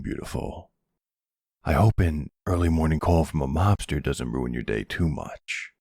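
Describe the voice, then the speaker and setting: A man playing a charming middle-aged mafia boss, suave and flirtatious, with a gravelly, husky voice, in an intimate ASMR roleplay. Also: very deep voice, a little mellow, no echo, studio quality, heavily acted. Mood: warm, flirtatious. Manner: suave, courteous, teasing, uses pet names.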